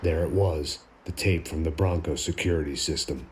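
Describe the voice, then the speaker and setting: Very deep, world-weary American antihero. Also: male voice, moderate pace, slight background noise. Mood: grim, determined. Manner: world-weary, brooding, deadpan. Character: weary, bitter, self-loathing American ex-cop antihero. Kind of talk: scripted noir voice-over narration and game dialogue.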